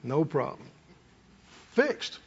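A man's voice: on the high side, very animated, very slow, creaky. A middle-aged or older man preaches in a folksy, conversational way, telling homely stories and putting rhetorical questions to his congregation. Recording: muffled hall sound with long reverb.

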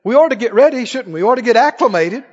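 Male preacher, high-pitched voice, emphatic Bible teacher firing short questions at the congregation; long church reverb. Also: lively intonation, fast. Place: large church hall, before a congregation. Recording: muffled, echoing large hall.

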